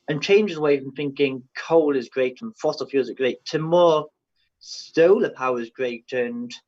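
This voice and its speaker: Young man thinking aloud, dull audio. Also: on the high side. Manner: tentative, thinking aloud with many "I feel"s. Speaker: panelist. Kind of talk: online panel discussion.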